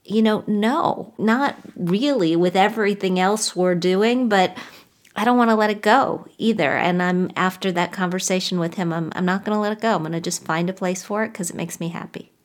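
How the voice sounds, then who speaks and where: A woman's voice: medium pitch, normal speed. A woman co-hosting a podcast, chatting casually and reflectively with fillers and restarts. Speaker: podcast co-host. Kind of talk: casual personal chat.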